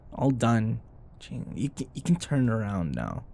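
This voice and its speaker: A man acting a teasing, flustered young office rival in an intimate ASMR boyfriend roleplay, halting and sometimes dropping into breathy murmurs and sighs. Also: on the low side, huge pitch swings, a little mellow, heavily acted. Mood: teasing, smug.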